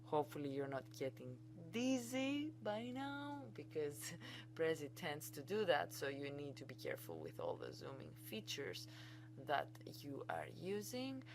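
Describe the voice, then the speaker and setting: A woman's voice: low-pitched, big pitch swings, a little slow, background noise. A woman with a Greek accent, a native Greek speaker, giving an enthusiastic, chatty screencast tutorial as a college instructor.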